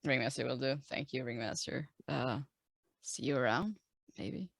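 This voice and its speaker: A woman's voice: extremely low pitch, lively intonation, normal speed, creaky, a crowd in the background, voice pushed down, exaggerated voice. A woman playing a bewildered, curious adventurer in a tabletop game, stammering and slangy. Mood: accepting.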